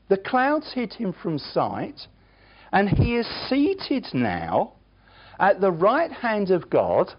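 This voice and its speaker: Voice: medium pitch, highs cut off. A preacher delivering a sermon, emphatic and earnest, with chatty, self-deprecating asides to the congregation.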